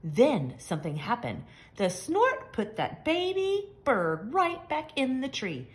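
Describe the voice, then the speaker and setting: A woman reading a picture book aloud to young children, with expressive, simple and emphatic phrasing. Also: sits high, takes it slowly. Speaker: children's story reader. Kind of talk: expressive storybook read-aloud.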